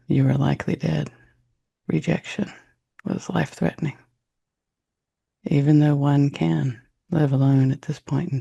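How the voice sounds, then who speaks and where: A man leading a guided meditation in a soft, unhurried, reflective way, with long pauses and a gravelly, husky voice. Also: medium pitch, lo-fi sound.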